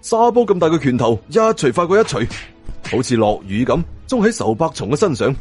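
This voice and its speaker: A man tells a dramatic story in Hong Kong Cantonese. His delivery is animated, with wide swings of pitch, and he slips into characters' dialogue. Faint music plays behind him. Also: brisk, breathy edge. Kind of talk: dramatic audiobook narration.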